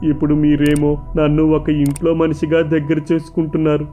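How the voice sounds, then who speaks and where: A man voicing a humble, gentle orphaned shop worker in an animated moral story, with music behind him. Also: fairly high pitch, a little fast, lightly acted. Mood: moved, sorrowful. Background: background music.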